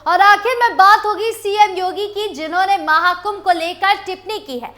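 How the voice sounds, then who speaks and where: Female news presenter, scripted bulletin read, very high pitch, bright forceful tone. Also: normal speed. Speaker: news anchor.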